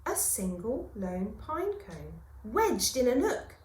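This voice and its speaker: Very animated woman reading a storybook. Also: on the high side. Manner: theatrical, expressive, dramatic pauses. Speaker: primary school teacher reading a story. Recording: roomy, echoing room sound.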